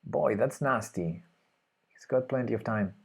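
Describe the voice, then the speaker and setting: A man's voice: mid-range voice, a little slow, gravelly, slightly rough. A man with a Spanish accent streaming live chess, thinking aloud and hesitantly muttering his moves. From Spain. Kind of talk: live chess commentary while playing.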